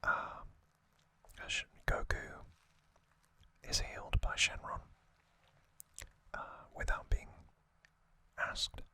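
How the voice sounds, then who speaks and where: A man whispering an ASMR narration, telling a story gently in short, hushed phrases as the host. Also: very slow, long pauses.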